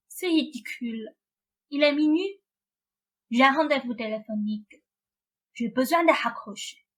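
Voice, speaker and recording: A woman's voice: high-pitched, measured pace, long pauses. A woman playing a naive, sulky young prince in a student French radio play. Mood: guarded, weary.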